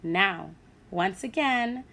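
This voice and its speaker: A woman teacher reading a picture book aloud to children, animated and asking her listeners questions. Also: medium pitch, drawn-out words, gravelly.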